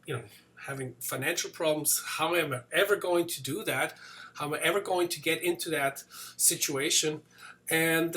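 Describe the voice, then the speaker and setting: A man's voice: a little high, sharp tone. A man in his forties from Switzerland with a slight Swiss accent, giving a casual motivational talk to camera, full of fillers and restarts. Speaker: business coach.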